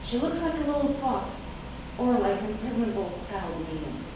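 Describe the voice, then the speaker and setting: A woman's voice: medium pitch, very animated, normal speed. A woman whose first language is Russian reads her own poem, speaking hesitantly and emotionally with many fillers. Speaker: poet reading her work. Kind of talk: poetry reading with spoken intro. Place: echoey hall, recorded from afar. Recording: distant, echoey, noisy room recording.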